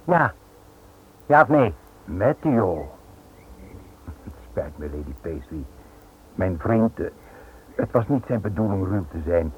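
A man acting a smooth, menacing Italian financier in a radio play, polite, insinuating and pressing. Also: on the low side, expressive, slow pace, pauses often. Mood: insinuating politeness. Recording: tinny, noisy old radio play recording.

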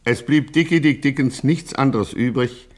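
A man's voice: medium pitch, moderate pace, a little mellow, faint music behind, close to an everyday voice. A man narrating a gangster satire as a mock-solemn storyteller in scripted radio style.

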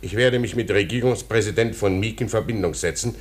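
An actor playing an authoritative senior official in a radio play, formal and decisive, quick to indignation. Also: male voice, lowish voice, lightly acted. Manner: formal, commanding, clipped. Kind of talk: German radio play acting.

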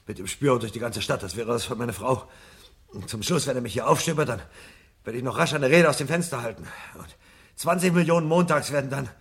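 An actor playing a weary but determined fugitive fireman with halting, understated delivery in a 1970s German radio drama. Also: mid-range voice, fairly bright tone, lightly acted. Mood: bitterly ironic. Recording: roomy, distant, faintly noisy vintage recording.

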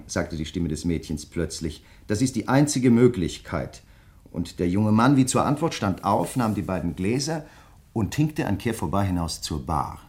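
A courteous crime writer in a radio play. His voice sits on the low side, and he speaks with measured, deliberate politeness, quietly pressing his point as he probes a fellow writer. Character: courteous, insistent male crime novelist probing a fellow writer. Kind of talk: German radio play acting.

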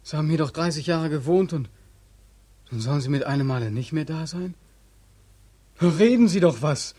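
An actor playing a despairing, homeless soldier back from war, emotional and pleading, in 1940s radio drama style. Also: male voice, fairly high pitch, animated, normal speed, warm tone, no room sound. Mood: anxious, pleading. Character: despairing returned soldier, homeless, anguished and naive. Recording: dry 1940s radio recording.

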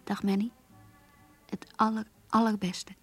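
An actress playing a warm, resolute young woman speaking a farewell letter tenderly and earnestly in a radio play. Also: mid-range voice, little pitch movement, measured pace, slightly rough, no echo, soft background music.

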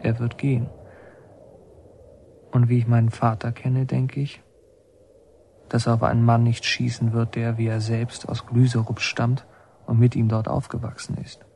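An actor plays a reflective son narrating a radio drama. His medium-pitched male voice is mellow with a lot of gravelly fry, and he tells it in measured, literary phrases over faint ambience. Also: completely flat delivery, slight background noise, natural voice. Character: reflective son narrating his policeman father's war story.

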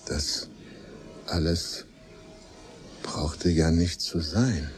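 Male narrator: bottom-register voice, very slow, halting phrases for a scripted radio play. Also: very deep voice, faint music behind.

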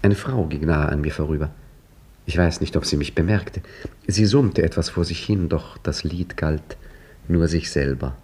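An actor playing a middle-aged caretaker who narrates his own tale in a 1950s German radio drama, with a measured, wry manner. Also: extremely low pitch, darkish tone, breathy edge. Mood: reflective. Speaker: narrator and lead character. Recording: vintage 1950s radio play recording.

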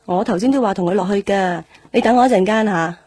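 A woman's voice: mid-range voice, quick pace, darkish tone, husky, lightly acted. An actress with a Hong Kong accent playing a protective middle-aged mother in a radio drama, homely and nagging. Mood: fussing, homely. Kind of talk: Cantonese radio drama acting.

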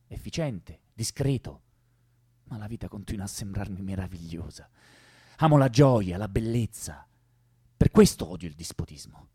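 An actor playing an idealistic, passionate young revolutionary in an earnest, impulsive way for a radio drama. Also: male voice, mid-range voice, dark tone, husky, no room sound, studio quality. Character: idealistic, passionate young male revolutionary who loves life. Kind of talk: theatrical radio drama acting.

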